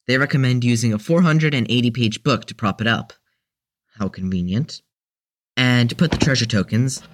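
Man as a young male newcomer, medium pitch, hesitant, mild-mannered, halting, audio drama. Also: slight background noise, lightly acted. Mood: uncertain. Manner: halting, unsure.

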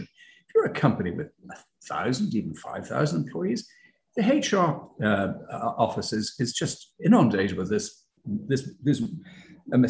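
A man's voice: medium pitch, gravelly, a little mellow. An Irish man, a company founder, explaining his business in a conversational interview with frequent ums and restarts. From Ireland. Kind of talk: casual interview talk. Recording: roomy echo.

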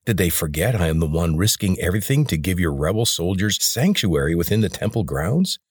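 A man voicing a scheming male temple priest who speaks in a calm, measured way. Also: deep voice, breathy edge, no room sound, studio quality, full character voice. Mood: indignant.

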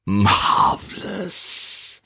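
A man voicing a gruff, blustering older country squire who chuckles to himself, in a one-man reading of a stage play. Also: fairly low pitch, expressive, takes it slowly, pushed and bright, dry recording, heavily acted.

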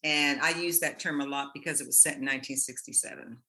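A woman from Ohio, a guest and self-published author, talking in a soft, hesitant way with light laughs. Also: low-pitched, fairly even intonation, fast. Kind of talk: casual interview chat.

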